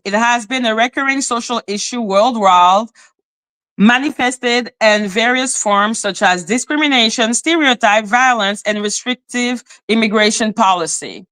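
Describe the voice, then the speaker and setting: A woman's voice: on the high side, fairly bright tone. Indignant woman. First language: French. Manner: indignant, cutting, reads definitions aloud. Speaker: commentary channel host. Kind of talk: heated online commentary.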